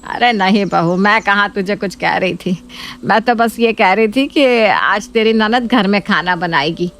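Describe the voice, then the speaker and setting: A woman's voice: mid-range voice, a little slow, fairly bright tone. A woman playing a stern, bossy middle-aged mother-in-law in a spoken story. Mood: sweet-talking. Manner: scolding, bossy.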